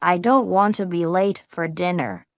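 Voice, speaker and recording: Woman reading a mid-pitched friendly speaker, unhurried delivery, dry close recording, practice dialogue. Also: no echo. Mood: plain. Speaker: dialogue partner in English practice. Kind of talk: slow scripted practice dialogue.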